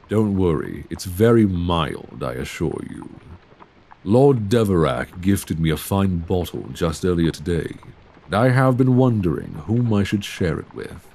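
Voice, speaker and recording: Casting: male actor as tenderly charming gentleman vampire, Victorian ASMR drama, gravelly, very expressive melody, formal and attentive. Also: exaggerated voice. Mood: smooth, coaxing. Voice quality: low, creaky voice. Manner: genteel, formal, solicitous phrasing with endearments. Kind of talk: ASMR roleplay, scripted intimate monologue. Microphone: close binaural microphone.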